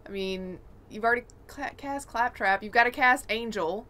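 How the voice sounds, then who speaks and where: A woman with a very bright, forward voice pitched on the high side. She chats casually as a podcast co-host and gives her opinions bluntly. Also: normal speed. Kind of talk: casual chat about games and films.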